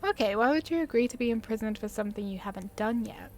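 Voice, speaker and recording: A woman's voice: a little high, lively intonation, fast talker, slight background noise. A woman gamer giving casual, chatty commentary as she plays, thinking aloud in an informal way. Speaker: gaming video host.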